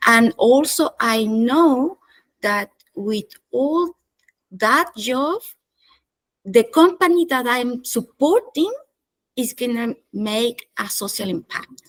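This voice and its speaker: A woman from Chile speaks in a slightly high voice, taking her time with each thought. She pauses and hesitates often, but she stays engaged as an interview guest. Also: expressive, low-quality recording. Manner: slow, thoughtful, with hesitations and light laughs. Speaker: chief strategist, guest. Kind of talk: interview conversation.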